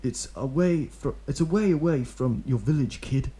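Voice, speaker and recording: A man's voice: medium pitch, normal speed, dark tone, voice pushed up, natural voice. A man reading as a grim, guarded ninja. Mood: grim calm. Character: calm grim adult male ninja.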